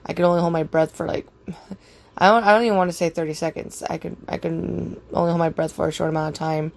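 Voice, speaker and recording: A young woman with a raspy voice, casually rambling through a personal story with lots of fillers and swearing. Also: fairly low pitch, steady tone, fast talker.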